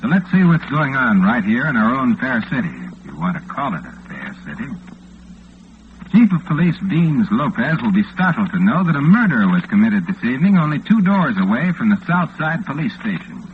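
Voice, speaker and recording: A man's voice: medium pitch, very animated, moderate pace, close to an everyday voice. A man playing a laconic, dryly cynical radio station newsman with an American accent, in an old-time radio drama. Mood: dry newsreading. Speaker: newsreader. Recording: muffled, noisy vintage radio recording.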